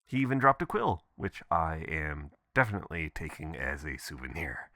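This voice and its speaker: Low, crisp-edged boyfriend voicemail, acted by a man. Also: measured pace, no echo, studio quality, heavily acted. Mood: amused, affectionate. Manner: casual, expressive, chuckling, swearing. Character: adventurous, affectionate, playful boyfriend leaving voicemails. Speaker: audio performer. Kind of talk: intimate voicemail roleplay, ASMR boyfriend audio.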